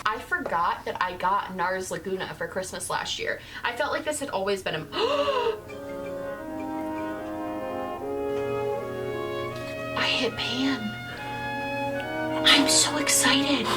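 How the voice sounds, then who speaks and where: A young woman in an old beauty vlog, bubbly and gushing, using a higher put-on camera voice. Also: animated, takes it slowly, pauses often, loud music behind the voice, low-quality recording. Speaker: youtuber in her older videos.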